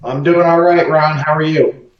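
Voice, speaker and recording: A man speaking as a business-owner guest from his office on a video call, relaxed and hesitant with many ums. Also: a little high, normal speed, echoey room, distant mic, dull audio. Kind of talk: remote interview conversation.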